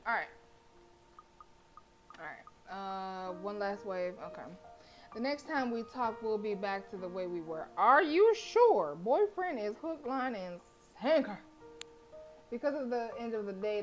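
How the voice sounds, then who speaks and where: A woman's voice: medium pitch, rough recording. A woman streaming a visual novel, reading the story aloud and reacting with brash, profane, slangy commentary. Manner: brash, animated and profane, with slangy trash talk.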